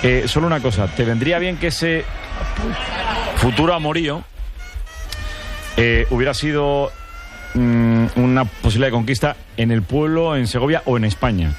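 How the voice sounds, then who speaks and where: A man hosting a joking group radio chat, firing quick teasing questions at a guest and slipping into put-on voices. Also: mid-range voice, music in the background. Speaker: radio sports show host.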